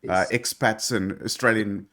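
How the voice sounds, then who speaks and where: A man with a croaky voice, opening a casual chat as co-host and setting up the topic. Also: on the low side, steady tone, measured pace, pushed and bright. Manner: brief agreeing replies, sets up the topic.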